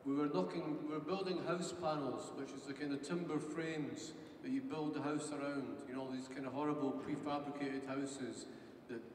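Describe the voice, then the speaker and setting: A man casually introducing his novel with halting asides and swearing. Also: a little high, fairly even intonation, a little mellow, a little hiss or hum. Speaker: author introducing his reading. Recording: echoey hall from far off.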